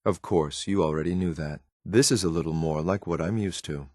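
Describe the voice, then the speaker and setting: An actor playing a grim, cold synthetic soldier in a video game, speaking in a clipped, deadpan, disdainful way. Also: male voice, low voice, normal speed, dry recording, clean studio sound. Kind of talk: scripted video game companion lines.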